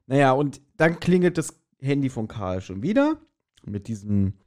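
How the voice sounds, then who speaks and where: A man's voice: mid-range voice, big pitch swings, moderate pace, darkish tone. A man chatting casually as a podcast host, retelling a story in colloquial, slangy language and acting out quotes. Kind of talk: casual chat, retelling an audio drama.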